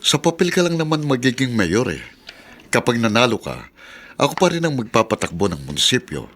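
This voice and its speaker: A Filipino actor playing a confident, scheming small-town mayor with a persuasive manner in a radio drama. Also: male voice, medium pitch, big pitch swings, fairly quick, a bit bright, slight background noise. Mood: persuasive, assured.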